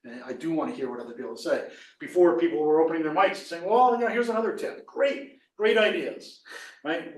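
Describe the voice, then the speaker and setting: Slightly raspy, enthusiastic man in an echoey room. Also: medium pitch, recorded from a distance. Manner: enthusiastic, conversational, frequent "right?" asides. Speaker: presenter and instructor. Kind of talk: casual webinar talk.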